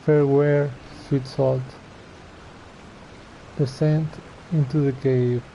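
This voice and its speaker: A man's voice: mid-range voice, little pitch movement, slow pace, creaky, dark tone, slight background noise, rough recording. A man giving casual, slangy gameplay commentary as a streamer, muttering his reactions. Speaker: game streamer.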